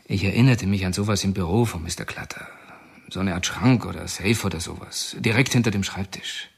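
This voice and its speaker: A man's voice: sits low, fairly quick, gravelly, breathy edge, exaggerated voice. An actor playing a male prison informant in a radio drama, speaking in a confessional, uneasy manner.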